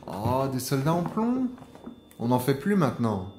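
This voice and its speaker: A man's voice: mid-range voice, huge pitch swings, a little slow, noisy background. A man playing a wary young urban explorer in an audio drama, talking in a colloquial, questioning, uneasy way. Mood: curious, puzzled. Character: wary young male urban explorer, sceptical and easily rattled. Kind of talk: naturalistic audio drama dialogue.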